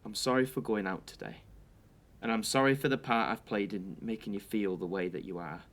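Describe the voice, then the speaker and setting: Actor as an earnest young British boyfriend. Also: male voice, lowish voice, fairly quick, a bit bright. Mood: apologetic, tender. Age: young adult. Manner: earnest, hesitant, coaxing. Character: defensive but devoted young boyfriend, reassuring. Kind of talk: audio drama acting.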